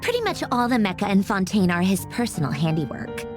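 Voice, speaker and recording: A woman's voice: medium pitch, big pitch swings, brisk, over music, lightly acted. A woman playing a haughty, sarcastic, easily irritated young woman inventor in an anime-style video game, with a dismissive, theatrical delivery. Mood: dismissive, wistful.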